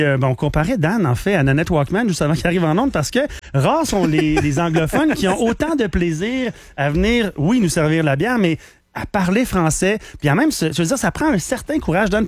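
A man's voice: fairly high pitch, dry recording. A man with a Quebec French accent hosting a radio show, teasing his guest and laughing easily in casual chat. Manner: playful, teasing, quick to laugh. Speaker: radio host.

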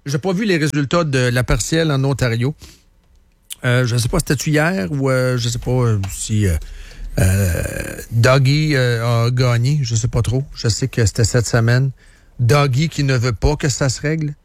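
A man's voice: medium pitch, moderate pace, no room sound. Quebec man hosting talk radio, close and dry. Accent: Quebec French. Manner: colloquial, peppered with English words, repeats phrases for emphasis. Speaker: radio host. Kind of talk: opinionated casual current affairs chat.

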